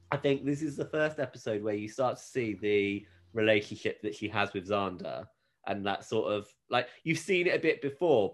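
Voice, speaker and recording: A British man hosting a podcast, chatting casually and with amusement as he recaps a TV show. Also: mid-range voice. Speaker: podcast host.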